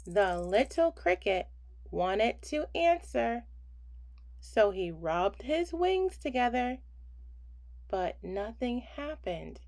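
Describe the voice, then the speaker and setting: A woman reading a children's bedtime story aloud in an expressive, deliberate way with pauses. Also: fairly high pitch. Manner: slow deliberate phrasing with pauses, hushing on refrains.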